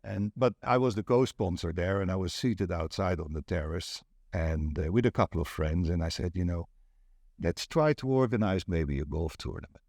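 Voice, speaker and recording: A middle-aged or older man from the Netherlands with a Dutch accent, telling stories in a measured, deliberate way as an interview guest. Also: low-pitched, darkish tone, no room sound, studio quality. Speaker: networking event organiser, interview guest. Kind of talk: conversational interview, recounting.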